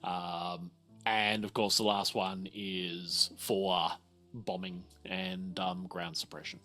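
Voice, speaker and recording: A man explaining things as game master at a tabletop game, in a halting, explanatory way. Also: low-pitched, flat delivery, bright, forceful tone, faint music behind.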